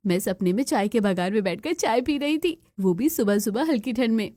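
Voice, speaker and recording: A woman voicing a dreamy, eager, excitable young bride in a cartoon story. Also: on the high side, brisk, close to an everyday voice. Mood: dreamy, happy.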